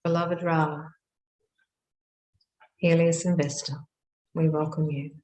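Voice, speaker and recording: In an echoing, roomy space, a woman leads a guided meditation. Her voice sits low and moves very slowly, in short hushed phrases separated by long pauses. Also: level tone.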